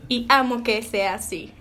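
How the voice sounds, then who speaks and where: A woman's voice: fairly high pitch, slow, pushed and bright. A woman in her twenties with a Mexican accent, chatting casually as an interview guest in a giggly, slangy way. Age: 20s. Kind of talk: casual chat. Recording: noisy amateur home recording.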